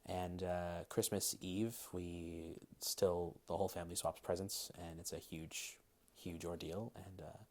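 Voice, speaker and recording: Man, 30s, Massachusetts-raised, near general American accent with faint British touches; low voice, careful, measured delivery. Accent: Massachusetts, largely general American with slight British traces. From Massachusetts, USA. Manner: careful, crisp reading, then relaxed with hedges and fillers. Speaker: dialect coach, interviewee. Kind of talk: reading word lists, then casual interview answers.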